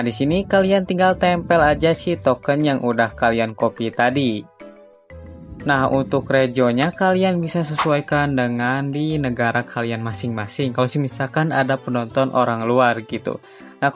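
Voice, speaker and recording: A young man narrating a tutorial in an informal, chatty, slangy way as a YouTube host. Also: mid-range voice. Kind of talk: casual screen-recording tutorial narration. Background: background music.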